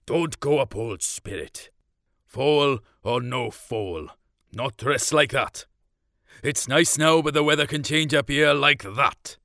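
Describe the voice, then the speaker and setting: A man puts on a gruff Welsh farmer of the old school for a storybook. He is blunt and quick-tempered, scolding as he talks. The sound is dry. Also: mid-range voice, sharp tone, no room sound, full character voice. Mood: scolding warning.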